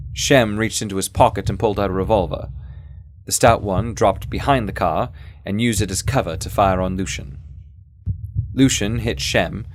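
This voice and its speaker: A man reading a scripted first-person story in a measured storytelling manner. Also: fairly low pitch, a little hiss or hum. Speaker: audio fiction narrator.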